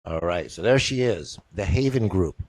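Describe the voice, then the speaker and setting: Low-voiced joking male host, dry sound. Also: animated, moderate pace, darkish tone, no room sound. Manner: jokey, rambling, repeats himself. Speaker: interview host. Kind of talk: casual interview.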